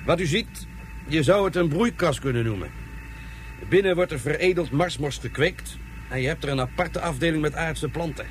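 A businesslike guide lays out facts in a plain, informative tone, his voice slightly raised in pitch, played by a man in a radio play. Faint hiss sits beneath the old recording. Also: normal speed, lightly acted.

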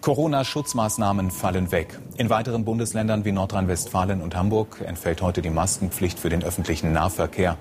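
A man's voice: sits low, fairly quick, hardly any pauses, noisy background. A man with a standard German accent reading a formal, scripted news bulletin as an anchor.

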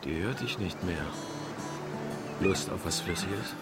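An actor playing a dry, blunt, teasing village friend and drinking companion in a radio drama. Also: male voice, low-pitched, slow pace, music in the background. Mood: convivial.

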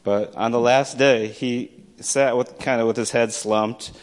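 A man's voice: medium pitch, steady tone, measured pace. An American man telling a personal story to listeners in a wry, self-deprecating manner. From small town in the United States. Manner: wry, self-deprecating, acts out remembered dialogue. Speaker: storyteller, poet and teacher.

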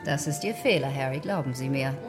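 An actress in a German radio drama playing a quick-witted, flirtatious duchess with a poised, sparring manner. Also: female voice, extremely low pitch, music in the background. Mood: arch, playful.

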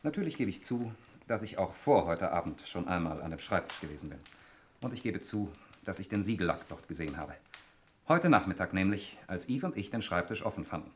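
An actor playing a cornered, defiant murder suspect in a 1960s German radio crime play, with a sardonic manner. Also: male voice, low voice, very animated, fairly quick. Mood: sardonic, menacing. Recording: noisy old 1960s radio recording.

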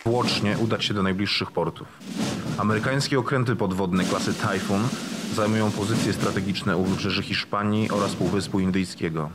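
A low-voiced, sombre male news announcer played by an actor speaks over very loud music. His delivery is formal and official, in the manner of a scripted radio bulletin. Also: steady tone, moderate pace, lightly acted.

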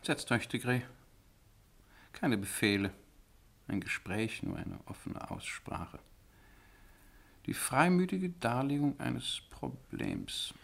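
A man's voice: medium pitch, slow pace, vocal fry, long pauses, fairly bright tone, full character voice. An actor playing a pompous, thrifty king in a German radio play, grand and condescendingly jovial in manner. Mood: measured, confiding. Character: pompous, thrifty male monarch of a tiny state.

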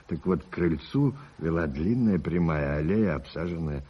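An actor playing a genial, measured gentleman reminiscing with an old friend in a deliberate radio drama style. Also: deep voice, animated, husky. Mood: musing. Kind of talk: 1980s Soviet radio drama acting. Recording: muffled vintage radio recording with hiss.

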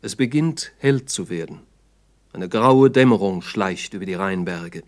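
A man's voice: low-pitched, animated, unhurried. A man narrating a 1960s radio play in a sober, factual, report-like style.

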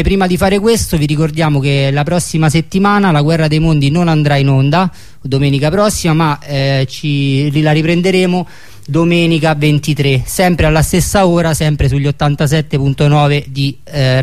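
Male radio host, slightly high voice, hesitant commentary, repeated and restarted phrases. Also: darkish tone, smooth. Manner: halting, repeats and restarts phrases while reasoning.

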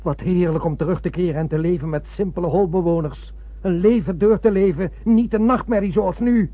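In a tinny radio drama recording, a ship's officer agonises on the edge of mutiny. His voice is husky and somewhat high. He sounds earnest and tormented. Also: brisk, no echo. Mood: anguished. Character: anguished male ship's officer driven to mutiny. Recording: tinny, band-limited old radio recording.

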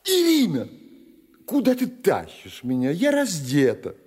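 Sleepy, fretful woman acted by a man for audio theatre: lifted, wildly swooping pitch, whiny exclamations. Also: huge pitch swings, measured pace, darkish tone, breathy edge, long reverb tail, heavily acted. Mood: sleepy, irritated. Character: sleepy, irritable, then terrified woman in bed. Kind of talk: theatrical poetry monologue acting.

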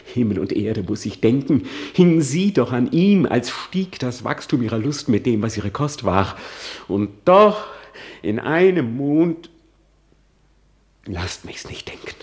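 An actor playing a grieving, bitter young prince in a classical radio drama, with deliberate declamation that moves between hushed and forceful. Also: male voice, medium pitch, big pitch swings. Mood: anguished, disgusted. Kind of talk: classical German stage-verse radio drama acting.

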